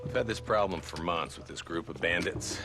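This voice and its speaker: A man's voice: sits low, animated, fairly quick. An actor playing a blunt, commanding frontier lawman in a Western game. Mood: grim, urgent. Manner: blunt, authoritative. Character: gruff, commanding frontier marshal rallying a posse. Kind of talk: Western game cutscene acting. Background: loud music.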